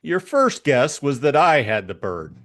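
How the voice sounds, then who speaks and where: A man voicing a tough, cynical private detective in a crime audiobook, blunt, slangy and needling, with crisp delivery. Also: medium pitch, big pitch swings, a little slow, fairly bright tone, voice pushed up. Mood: hard, needling.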